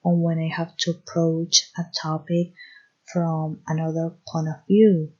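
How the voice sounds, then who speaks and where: A young woman hosts her own podcast in a slow, unhurried way. Her voice sits low and a little husky, and she often pauses to search for the right English words. The recording sounds dull and lo-fi. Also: monotone. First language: Spanish. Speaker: podcast host. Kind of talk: solo podcast monologue.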